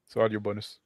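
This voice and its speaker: A man narrating a tabletop roleplaying game as game master, improvising haltingly. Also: dry recording.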